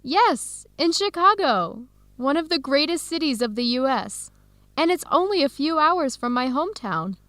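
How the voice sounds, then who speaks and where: Young American woman researcher, higher-pitched, animated, warm, eager, radio drama, dry studio sound. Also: normal speed, dry recording. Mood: excited, warm. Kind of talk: educational radio drama acting.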